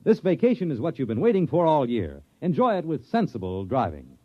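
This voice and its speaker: A man's voice: on the high side, wildly swooping pitch, brisk, very noisy. A man reading scripted announcements in the formal style of a 1950s radio announcer. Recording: dull, lo-fi vintage radio recording.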